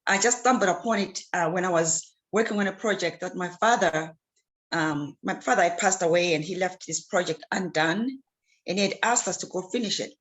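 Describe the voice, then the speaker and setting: Earnest low-voiced woman from Zimbabwe. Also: moderate pace, fairly bright tone. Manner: earnest, hesitant, many fillers and restarts. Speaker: guest, clean water advocate. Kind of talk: casual interview answers.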